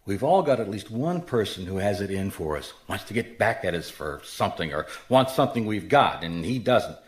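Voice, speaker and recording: An actor playing a shrewd, skeptical private detective in a radio mystery, with a blunt, wry, questioning manner. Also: male voice, lowish voice, animated, moderate pace, slightly rough. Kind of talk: radio mystery drama acting.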